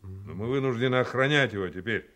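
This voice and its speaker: A man's voice: on the low side, quick pace, a bit bright, lightly acted. A man playing a proud townsman who tells a legend in an emphatic, declamatory audio drama style. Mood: solemn storytelling. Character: proud male townsman telling a founding legend. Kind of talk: theatrical storytelling in an audio play.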